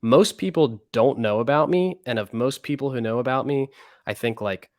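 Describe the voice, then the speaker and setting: A man's voice: mid-range voice, moderate pace, no echo, clean studio sound. A man answering interview questions in a loose, rambling way, full of fillers and restarts. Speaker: interview guest. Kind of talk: casual conversational interview.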